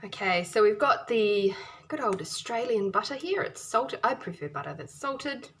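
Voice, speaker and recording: A chatty woman talks through her cooking in a home kitchen, recorded by a camera above the counter. Her voice sits in the middle of the usual range, and the sizzle of frying food and the clatter of the kitchen come through clearly behind her. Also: medium pitch, animated, normal speed, a little hiss or hum. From New Zealand. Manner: cheerful and chatty, often laughing, talks while chopping and stirring. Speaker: home cooking video host. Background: food sizzling and kitchen clicks.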